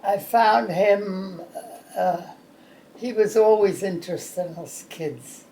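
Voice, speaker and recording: Gravelly-voiced woman in her nineties. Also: mid-range voice, dark tone, echoey room, a little hiss or hum, low-quality recording. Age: 90s. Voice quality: gravelly throat. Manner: rambling, halting with many uh's. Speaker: birthday celebrant. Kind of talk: casual family storytelling.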